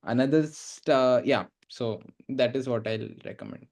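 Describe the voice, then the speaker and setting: A man's voice: medium pitch, moderate pace, a little mellow. A man speaking as a guest in a panel discussion, giving advice hesitantly with many fillers and restarted words. English is not his first language.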